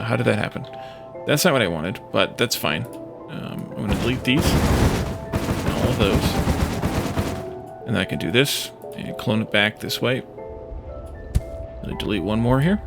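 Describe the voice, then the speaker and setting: A man giving casual gameplay commentary as a let's play host, thinking aloud in a hesitant, halting way. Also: mid-range voice, creaky. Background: music in the background.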